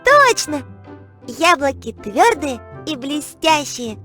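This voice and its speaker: A woman's voice: sits high, sing-song, slow pace, bright, forceful tone, faint music behind, full character voice. A woman voicing a cheerful, caring little girl heroine in an educational children's cartoon. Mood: friendly, upbeat.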